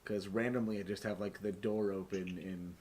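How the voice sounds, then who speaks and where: A man's voice: fairly low pitch, fairly even intonation, normal speed, a little mellow. A man hosting a tabletop game stream as game master, talking casually and jokily with plenty of swearing. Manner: profane, joking, laughs often.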